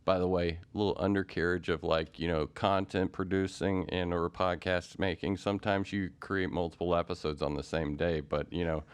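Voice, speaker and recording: A man's voice: low-pitched, flat delivery, moderate pace, hardly any pauses, clean tone. A man hosting a podcast interview, talking casually in a rambling way with many fillers and restarts. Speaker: podcast host.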